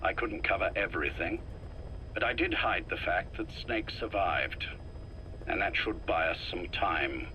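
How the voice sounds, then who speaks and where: An actor playing a grave, shrewd intelligence operative in a video game, in a covert briefing. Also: male voice, deep voice, measured pace, bright, forceful tone, slight background noise, low-quality recording. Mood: guarded briefing.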